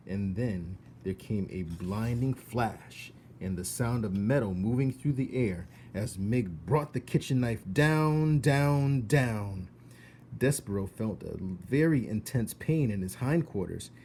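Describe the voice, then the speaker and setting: A man reading a children's storybook aloud in an expressive narrating style. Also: lowish voice, slow, slight background noise.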